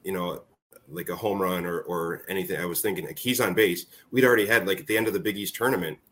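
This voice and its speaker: A man's voice: low-pitched, steady tone, quick pace, fairly bright tone. A man in his forties, a former ballplayer, speaking casually as an interview guest and telling stories. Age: 40s. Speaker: former college baseball player, interview guest.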